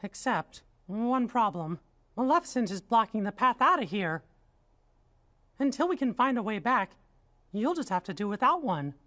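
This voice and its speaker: Squeaky, earnest little mouse king. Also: very animated, moderate pace, heavily acted. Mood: apologetic. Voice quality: squeaky falsetto. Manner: earnest, gentle, reassuring. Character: small, earnest, reassuring male cartoon mouse king. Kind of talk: video game voice acting.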